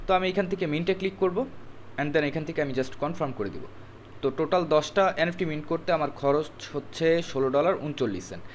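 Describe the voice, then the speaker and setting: A man's voice: fairly high pitch, expressive, fast talker, slight background noise. A man narrating a screen tutorial step by step, instructive and mixing in English words. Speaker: tutorial presenter.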